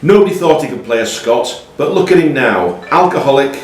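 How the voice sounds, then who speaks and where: A man's voice: medium pitch, lively intonation, brisk. A man hosting a live quiz, reading questions aloud to contestants in a roomy pub space. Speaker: quiz master. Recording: roomy, echoey pub room, slightly distant mic.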